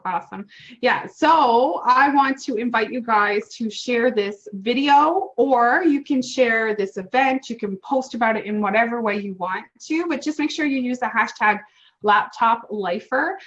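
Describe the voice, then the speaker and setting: A woman's voice: a little high, a bit bright. Woman host, middle-aged, chatty and enthusiastic, casual interview style, dull lo-fi call audio. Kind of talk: casual online interview hosting. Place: remote online call.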